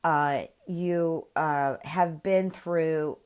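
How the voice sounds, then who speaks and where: Lady w a cold, kinda nasal, lower voice, doing a soft spiritual tarot reading, lots of ums. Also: fairly even intonation, smooth. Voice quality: slightly nasal. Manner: gentle, spiritual, many filler pauses. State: congested with a cold. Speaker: tarot reader.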